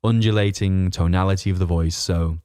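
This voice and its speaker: A man with a northern English accent speaks in a very deep, fairly monotone voice. He chats in a laid-back way on a podcast, recorded close and dry with no room sound. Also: fairly quick, clear, resonant tone, no room sound. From northern England. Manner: self-described monotone, laid-back, says "mate". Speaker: podcast co-host, fitness coach. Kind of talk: casual podcast chat.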